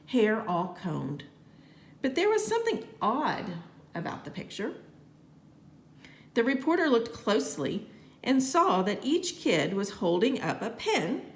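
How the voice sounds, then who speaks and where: A woman reads a children's story aloud with expressive, careful delivery. Her voice sits fairly high and moves in lively swings. The room adds a noticeable echo. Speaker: teacher reading aloud to students. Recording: roomy, echoey home-style recording.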